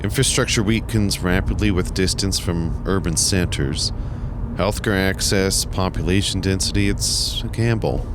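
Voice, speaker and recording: A man playing Pete, a stiff, data-obsessed young man who talks in precise, formal, deadpan terms. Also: low voice, normal speed, noisy background, natural voice.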